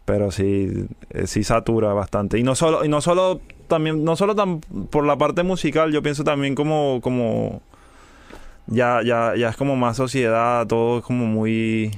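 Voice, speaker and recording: A young adult man with a Venezuelan Spanish accent, a music producer speaking as a podcast guest in a relaxed, halting way, with frequent restarts and English words mixed in. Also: medium pitch, clean tone, no echo. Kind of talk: casual podcast conversation.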